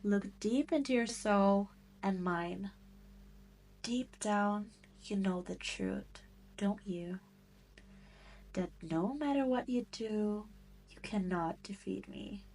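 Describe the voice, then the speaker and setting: Woman acting as demon queen, unhurried pace, medium pitch, possessive, domineering, teasing, condescending, audio drama roleplay. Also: darkish tone, a little hiss or hum. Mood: menacing, commanding. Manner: taunting, haughty, condescending, teasing.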